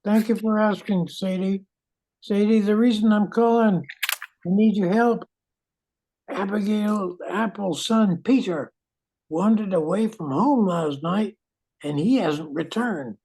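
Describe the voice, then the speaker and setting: A woman playing a serious, steady park ranger in a radio play, speaking in a measured way. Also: medium pitch, slightly rough, poor audio. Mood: grave. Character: grave, steady park ranger seeking help. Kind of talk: old-time radio play acting.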